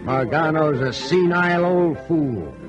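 An argumentative, fearful-minded frontier townsman. The voice is high and scornful, pressing its point in an old radio western with music in the recording. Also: animated, unhurried, muffled recording. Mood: scornful, insistent. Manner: scornful, insistent. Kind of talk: 1950s radio western acting.

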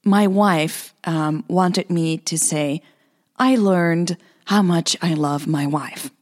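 A woman's voice: fairly low pitch, takes it slowly, no room sound. A woman podcaster who narrates stories and hosts in an informal, chatty way. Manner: playful, self-deprecating, informal. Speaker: podcaster and storyteller, host. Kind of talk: scripted story narration and casual chatty podcast hosting.

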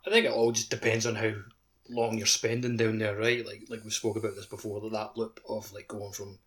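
A man's voice: lowish voice, level tone, a little fast, a bit bright. A man co-hosting a podcast, thinking aloud about a video game with lots of likes. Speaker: podcast co-host. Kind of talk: casual game discussion.

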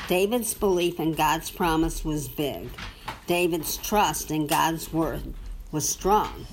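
A woman reading a children's Bible storybook aloud to a young child, with simple, deliberate phrasing and questions for the listener. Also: low-pitched, slight background noise, rough recording.

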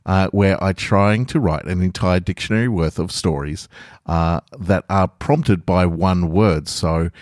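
A man's voice: low-pitched, measured pace, darkish tone, no room sound, clean studio sound. A man hosting a podcast, talking casually and reading short stories aloud as narrator. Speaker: podcast host and author reading own stories.

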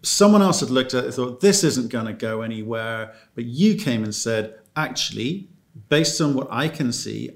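A man hosts an interview in a gravelly voice with lots of fry. He stammers into his questions and makes light of his own slips. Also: medium pitch, expressive, moderate pace. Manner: self-deprecating, stammers when starting a question. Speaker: interviewer, host.

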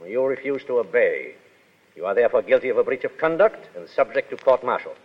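A man's voice: mid-range voice, lively intonation, a little fast, breathy edge. A coldly formal Foreign Legion adjutant in a 1930s radio play. He is clipped and commanding, with menace under the politeness. The old broadcast sounds muffled and rings in a big hall. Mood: stern. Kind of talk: 1930s radio drama acting.

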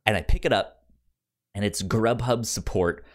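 Podcast co-host, mid-pitched voice, stutter on first sounds, informal storytelling, quoted dialogue, casual chat. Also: moderate pace. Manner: stutters, repeating sounds and words. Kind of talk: casual chat, telling an anecdote.

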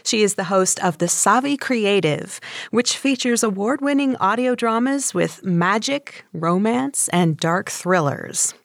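A woman's voice: medium pitch, lively intonation, a little slow, vocal fry, dry recording. Laughing woman co-host, dry sound. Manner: light, laughs often. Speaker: podcast co-host. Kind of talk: casual chat, reading a guest bio.

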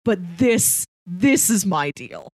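A woman's voice: mid-range voice, sing-song, slow, no echo, soft background music. A woman co-hosting a movie podcast, discussing films in a casual, conversational style.

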